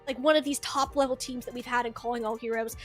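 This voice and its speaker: A woman's voice: fairly high pitch, fairly even intonation, fast. A woman doing live play-by-play commentary as an esports caster, with music playing behind her.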